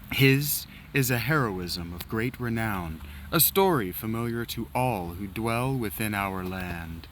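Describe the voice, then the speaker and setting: A man voicing a solemn male historian who narrates old wars in a slow, theatrical storytelling manner that drops to a hush. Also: fairly low pitch, slight background noise. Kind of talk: dramatic reading of game dialogue.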